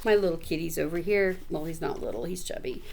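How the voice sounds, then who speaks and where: A woman reading a picture book aloud in an animated, expressive way, with chatty asides to the listener. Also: on the low side, quick pace, creaky, a little hiss or hum. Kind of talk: animated storybook read-aloud with casual asides.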